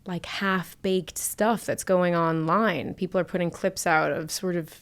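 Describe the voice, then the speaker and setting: A woman in her thirties from the United States speaks as a podcast guest in a low, gravelly voice. Her humor is dry and wry, and she tells her stories at a brisk clip. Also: fairly even intonation. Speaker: comedian guest. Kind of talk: casual conversation.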